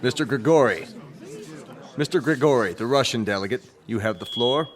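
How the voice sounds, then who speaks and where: A man's voice: medium pitch, lively intonation, moderate pace, noisy background, lightly acted. An actor playing a formal assembly chairman in a radio drama, with an official, commanding manner. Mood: formal, firm.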